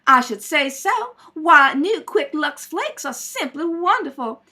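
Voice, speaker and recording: A woman's voice: sits high, lively intonation, unhurried, pushed and bright, exaggerated voice. A woman playing an effusive, genteel fashion guest in an old-time radio commercial for soap. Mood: cheerful, selling. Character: gushing, chirpy lady fashion guest in radio soap advertisement. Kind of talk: scripted 1930s radio commercial.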